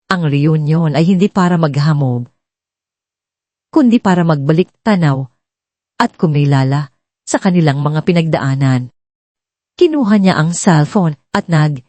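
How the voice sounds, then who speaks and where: Mellow woman narrating a story. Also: sits low, no room sound. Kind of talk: scripted dramatic story narration.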